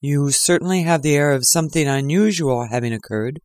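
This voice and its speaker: Ironic detective, man, calm measured delivery, dry close sound, read by a narrator. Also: mid-range voice, no room sound, close to an everyday voice. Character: sharp, ironic male detective.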